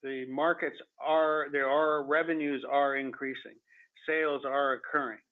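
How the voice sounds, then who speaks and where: A man answering analyst questions as a company executive on a call, speaking off the cuff in a halting, stammering way. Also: a little high, fairly even intonation. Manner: halting, stammering, heavy hesitation. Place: telephone conference call. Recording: muffled narrow phone-line audio.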